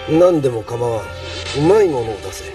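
A man's voice: mid-range voice, lively intonation, brisk, lightly acted. An actor playing a curt, menacing, contemptuous male villain in a cartoon. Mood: cold contempt. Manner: curt, haughty, threatening. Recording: distant sound with music.

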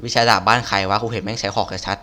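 A man's voice: lowish voice, little pitch movement, rapid-fire, pushed and bright, slight background noise. Blunt man doing casual gameplay commentary. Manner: blunt, crude slang, muttering asides. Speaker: game streamer. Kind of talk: casual gameplay commentary, reading dialogue aloud.

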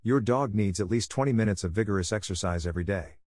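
A man's voice: lowish voice, fast talker, a little mellow, dry recording, studio quality. A man reading scripted practice sentences for an English speaking test. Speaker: test prompt reader.

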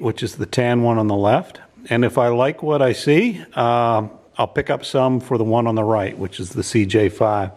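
A middle-aged man talking casually in a large pole barn workshop, relaxed and folksy with many filler sounds, picked up by a camera on a tripod. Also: mid-range voice. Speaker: channel host reviewing a product. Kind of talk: casual how-to vlog narration.

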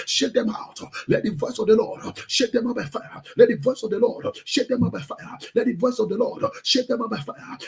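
High-pitched Nigerian preacher man, fervent. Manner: forceful, insistent, repetitive chanting of prayer lines. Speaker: pastor leading online prayer meeting. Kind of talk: fervent charismatic prayer preaching.

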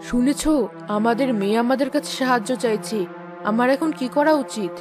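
A woman playing a gentle, protective mother spirit in a cartoon, soothing and maternal. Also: fairly high pitch, fairly quick, music in the background, close to an everyday voice. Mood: tender. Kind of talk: Bengali cartoon voice acting.

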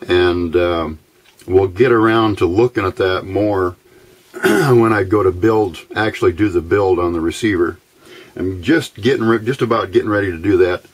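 Male hobbyist presenter, lowish husky voice, halting casual demo delivery. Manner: halting, thinking aloud. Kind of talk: casual unscripted workbench demonstration. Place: indoors at a workbench.

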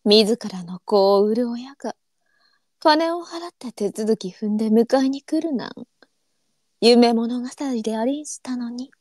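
A woman playing a tender-hearted, melancholy courtesan of old Japan in a live voice drama, speaking softly and demurely in courtesan dialect. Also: on the high side, unhurried, dry recording, studio quality, natural voice. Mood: resigned, reflective. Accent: courtesan arinsu dialect. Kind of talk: online live voice drama acting.

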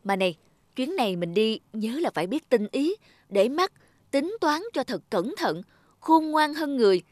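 A woman voicing a shrewd, insistent young village wife in an animated folk tale, with a Northern Vietnamese accent. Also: high-pitched, normal speed, no echo. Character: shrewd, calculating young village wife advising her husband.

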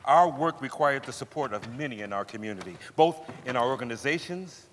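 A man's voice: mid-range voice, lively intonation, a little fast, fairly bright tone, a little hiss or hum, natural voice. Man acting a dignified father, echoey stage. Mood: resolute. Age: middle-aged. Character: dignified, resolute father recounting his community's struggle. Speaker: actor in a staged reading. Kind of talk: dramatic stage narration. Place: large theatre stage, indoors. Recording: echoey theatre hall, long reverb.

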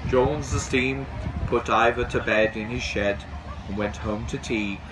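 A man's voice: mid-range voice, fairly even intonation, measured pace, noisy background. A middle-aged man reading a children's storybook aloud outdoors. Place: on a bench outdoors. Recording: outdoors with background bustle.

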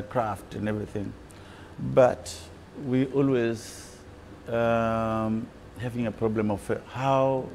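A man's voice: lowish voice, creaky, a little mellow, a little hiss or hum. A middle-aged man, president of a filmmakers' association, speaks hesitantly with many fillers in an indoor interview. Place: indoors, room with old film projectors.

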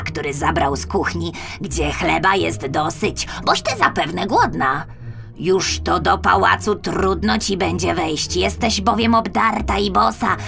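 A woman's voice: mid-range voice, vocal fry, a bit bright, heavily acted. A woman voicing a kindly, hesitant female crow in a children's audiobook, cawing and repeating her words emphatically. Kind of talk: children's audiobook character acting.

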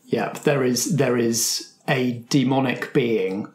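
A man's voice: medium pitch, takes it slowly, breathy edge. Man hosting a role-playing podcast. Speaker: game master, host and producer.

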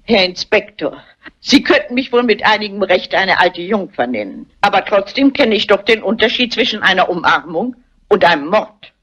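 An actress playing a strong-willed elderly spinster sleuth in a crime radio play, speaking in a brisk, insistent and formal way. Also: female voice, mid-range voice, animated, a bit bright, slightly rough. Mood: indignant. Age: older. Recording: muffled old soundtrack.

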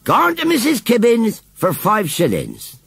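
A man voicing a brisk, insistent village postman who calls out loudly in a storybook. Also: sits high, wildly swooping pitch, creaky, slightly rough, no room sound, voice pushed up, full character voice. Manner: shouting, insistent.

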